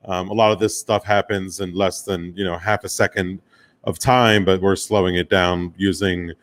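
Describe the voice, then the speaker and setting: A deep-voiced American man presents his own work to an audience online or at a conference. He talks informally and loosely, as if in conversation, with a low pitch throughout. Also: level tone, moderate pace. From Miami, United States. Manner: conversational, casual, loosely phrased. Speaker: guest speaker, commercial photographer and director.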